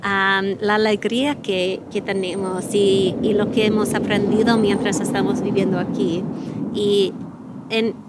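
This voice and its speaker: A woman's voice: mid-range voice, little pitch movement, a little slow, heavy background noise. A middle-aged American woman, a native English speaker, talking in an interview in careful learner Spanish with many fillers and restarts. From United States. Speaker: guest.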